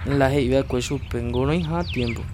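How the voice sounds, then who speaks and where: An actor playing a reassuring rural husband in a radio drama. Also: male voice, medium pitch, fairly even intonation, fairly quick, background noise, close to an everyday voice. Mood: calm. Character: caring husband Rafa, adult man.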